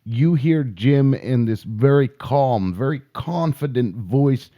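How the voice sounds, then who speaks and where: A man's voice: medium pitch, expressive, slow pace, lo-fi sound. A man giving informal opinion commentary between audio clips, spelling his points out emphatically with repetition. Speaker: video commentator.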